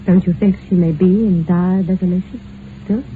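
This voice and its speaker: An actress in an old-time radio drama playing an excitable upper-class society lady with a dramatic, emotional manner. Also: lowish voice, normal speed, lightly acted. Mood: prying. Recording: tinny, noisy old radio recording.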